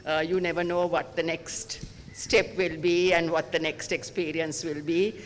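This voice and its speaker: A man's voice: fairly high pitch, flat delivery, unhurried. Sri man giving closing panel remarks. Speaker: chairman. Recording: reverberant hall with faint noise.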